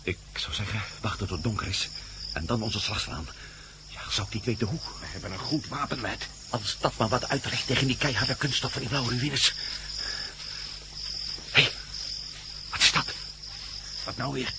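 A man's voice: mid-range voice, moderate pace, long pauses, lightly acted. A man acting a wary, wry adventurer in a Dutch radio play, with a Dutch accent. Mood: cautious. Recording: noisy old radio drama with outdoor ambience.

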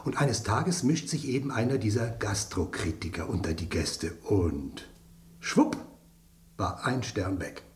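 An actor playing a gossipy, knowing spoon in a German radio drama, telling things with suspense and dramatic pauses. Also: male voice, fairly low pitch, animated. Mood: suspenseful. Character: gossipy, knowing talking spoon, relishing scandal.

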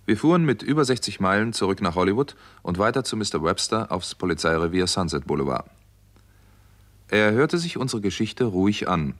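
An actor playing a hard-boiled, sardonic doctor turned investigator in a 1960s German radio crime drama, with dry, terse delivery. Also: male voice, fairly low pitch, lively intonation, fairly quick, close to an everyday voice. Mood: tense narrating. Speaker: first-person narrator and protagonist. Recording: noisy old radio recording with clicks.

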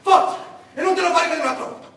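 A frightened villager played by a male stage actor begs in a central Italian accent, frantic and desperate. The voice is piercingly high and bright, ringing in a very echoey space. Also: fast talker, gravelly, far from the mic, a little hiss or hum, full character voice. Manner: frantic, pleading, repeating himself. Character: desperate frightened arrested villager pleading with his friend. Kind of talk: live theatrical monologue acting.

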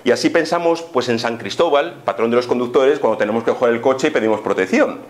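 A man's voice: medium pitch, quick pace. A man with an accent from Spain gives an informal explanatory lecture, speaking didactically with rhetorical questions and little check-in tags. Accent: Spanish, from Spain. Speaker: lecturer on spiritism.

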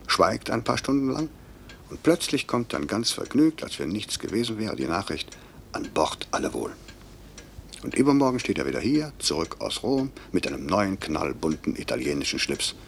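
An actor playing a stern, anguished middle-aged airline director in a 1950s German radio drama, curt and brooding. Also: male voice, a bit bright, heavily acted. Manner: curt, commanding, brooding, sinking into breathy murmurs. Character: stern, anguished male airline director, guilt-ridden boss. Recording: hissy, rumbling 1950s radio recording.

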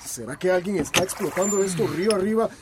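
A man's voice: high-pitched, fast talker, crowd noise, close to an everyday voice. A man playing a worried, suspicious fisherman in a radio drama, fretful and urgent. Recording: noisy outdoor water soundscape, distant.

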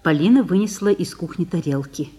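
A drama narrator with a medium-pitched voice reads stage directions. The delivery is scripted, with varied intonation. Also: lively intonation, brisk, dark tone.

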